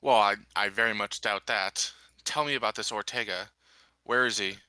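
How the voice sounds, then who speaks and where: A stern interrogator acted by a man with a very bright, forceful edge. He questions bluntly and sceptically, with sardonic bite, in a tabletop game, sounding close and dry. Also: lowish voice, moderate pace, no echo.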